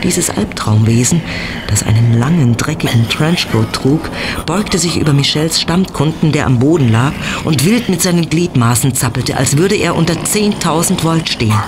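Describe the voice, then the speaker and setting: A man's voice: fairly high pitch, background noise. A man narrating a scripted horror audio drama as the storyteller.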